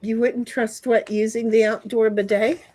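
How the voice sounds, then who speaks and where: A middle-aged woman hosting a relaxed livestream, talking in a loose, rambling way with offhand swearing. Her voice sits a little on the high side. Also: moderate pace, a little hiss or hum. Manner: rambling, chatty, swears casually. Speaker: livestream host. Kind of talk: casual livestream chat, reading chat aloud.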